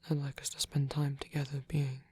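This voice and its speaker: A man playing a gentle, tender old vampire priest in an intimate ASMR roleplay, speaking slowly and hesitantly in a soft, breathy whisper. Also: medium pitch, completely flat delivery, no room sound. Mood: earnest, vulnerable. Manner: slow, hesitant, reassuring, softly formal phrasing.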